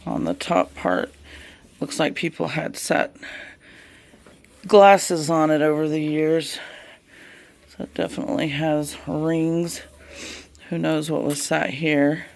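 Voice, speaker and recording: Slow, gravelly Southern US woman. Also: sits low. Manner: folksy, unhurried, murmuring along while working. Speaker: DIY vlogger. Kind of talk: casual hands-on demonstration vlog. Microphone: handheld camera.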